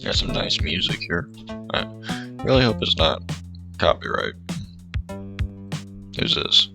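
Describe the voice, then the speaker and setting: A man's voice: deep voice, wildly swooping pitch, takes it slowly, creaky. A man reading a visual novel's dialogue aloud and adding casual remarks as he plays. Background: game music.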